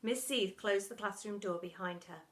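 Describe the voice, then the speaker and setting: A woman's voice: mid-range voice, normal speed, fairly bright tone. A woman narrating a children's story in a dramatic storytelling style, as for primary school pupils.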